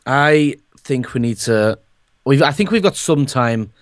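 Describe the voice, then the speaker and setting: A man's voice: medium pitch, lively intonation, measured pace, no room sound, studio quality. Hesitant young man with ums. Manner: hesitant, fills gaps with ums, laughs a lot. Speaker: roleplay co-player. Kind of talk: improvised game roleplay, voiced over gameplay.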